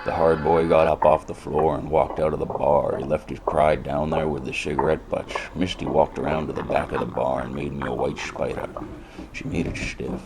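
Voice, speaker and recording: Male actor, hardboiled noir detective, extremely low, creaky, brooding, deadpan wisecracker, faintly noisy recording. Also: moderate pace. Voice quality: very deep, gravelly. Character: world-weary hardboiled male private eye, wisecracking and brooding. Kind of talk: noir radio drama with first-person narration.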